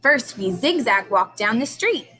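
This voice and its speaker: A woman's voice: a little high, sing-song, unhurried, background noise. A woman teacher leading a read-aloud lesson for young children, prompting with questions and carefully sounding out key words. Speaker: early-grades teacher.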